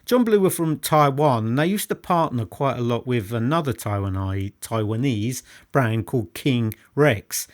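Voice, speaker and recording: A man's voice: medium pitch, expressive, a little slow, hardly any pauses. A man giving an enthusiastic, unscripted product talk, emphatic and repetitive, putting rhetorical questions to the listener. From United Kingdom. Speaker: hi-fi product reviewer and presenter.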